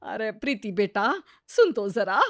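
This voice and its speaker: A woman playing a patient, weary older mother-in-law, homely and gently pleading, in a story dialogue. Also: mid-range voice, very animated, unhurried, no echo, clean studio sound. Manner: homely, long-suffering. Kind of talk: acted dialogue in a Hindi moral story.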